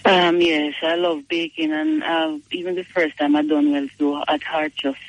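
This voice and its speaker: A woman calling in to a show, chatting eagerly and answering with quick agreements. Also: deep voice, sing-song, no room sound. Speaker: caller. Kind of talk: phone-in chat. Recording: muffled phone line.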